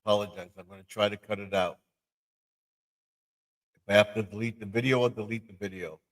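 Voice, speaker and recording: A man hosting a livestream in casual chat, halting and repeating himself as he goes. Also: on the low side, steady tone, low-quality recording. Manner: repetitive, halting. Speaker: livestream host.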